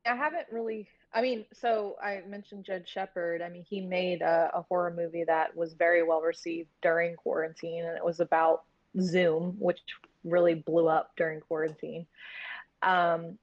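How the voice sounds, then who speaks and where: A woman's voice: fairly low pitch, flat delivery, normal speed, dull audio. A woman in her thirties talking candidly and informally as a film producer being interviewed, rambling a little as she tells stories. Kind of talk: casual interview storytelling.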